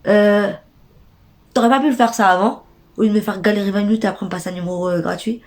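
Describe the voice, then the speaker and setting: A woman's voice: medium pitch, fairly even intonation, fast talker, a little mellow. A young woman with a stuffy nose from a cold tells an indignant, slangy story straight to her viewers. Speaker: vlogger. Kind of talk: casual storytime rant.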